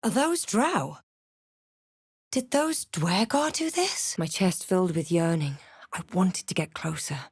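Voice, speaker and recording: A woman's voice: on the low side, lively intonation, a little slow, slightly rough, heavily acted. A woman playing a wary, dry-witted adventurer in a fantasy video game, making clipped, guarded remarks to herself. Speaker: player-character voice actor.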